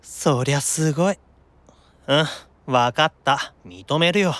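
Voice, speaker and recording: A man's voice: fairly high pitch, takes it slowly, dry recording. An actor playing a whiny, easily panicked young man in an anime-style audio drama. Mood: exasperated, teasing. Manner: animated, stammering exclamations when unnerved.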